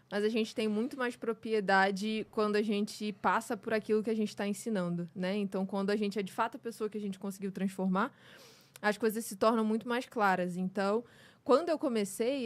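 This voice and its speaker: A woman in her twenties from Rio de Janeiro with a carioca accent, speaking candidly and reflectively as a podcast guest. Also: mid-range voice. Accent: Carioca Brazilian Portuguese. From Brazil, Rio de Janeiro state. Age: 20s. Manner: candid and reflective, many "né" and "sabe" tags. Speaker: podcast guest, communication coach. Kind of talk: casual interview chat.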